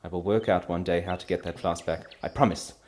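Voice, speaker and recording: A man's voice: deep voice, steady tone, brisk, darkish tone, close to an everyday voice. Guy doing a defiant wife in a storybook, anguished and proud, really emphatic, voice lifted a bit. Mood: bitter, yearning. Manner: impassioned, emphatic, trembling with feeling. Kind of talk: dramatic storybook character reading.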